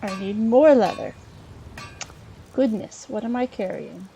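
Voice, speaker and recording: A woman narrating a video game in character as an adventuring scholar, halting and thinking aloud as she goes. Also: medium pitch, expressive, vocal fry, dark tone, slight background noise. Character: chatty Breton scholar woman, treasure-hunting adventurer. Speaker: let's play host role-playing a character.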